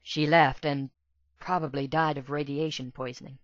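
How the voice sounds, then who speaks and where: A man voicing an earnest, soft-spoken young female pegasus pony with a hesitant manner for a storybook. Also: medium pitch, little pitch movement, moderate pace, no room sound, dull audio, lightly acted. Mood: sad, earnest.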